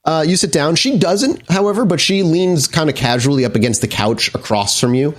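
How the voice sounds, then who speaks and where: A man's voice: on the high side, lively intonation, fairly quick. A man working as game master, improvising descriptive, conversational narration for a tabletop roleplaying game.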